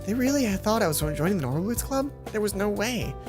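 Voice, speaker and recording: A woman's voice: medium pitch, animated, fast, music in the background. A woman hosting a let's play, reading a visual novel's narration aloud and sometimes stumbling and rereading lines.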